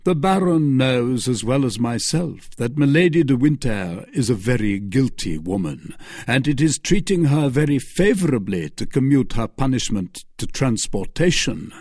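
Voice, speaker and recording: A man's voice: mid-range voice, lively intonation, moderate pace, a little mellow, no echo, studio quality. A man voicing a proud, commanding duke who answers with haughty dismissal. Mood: scornful.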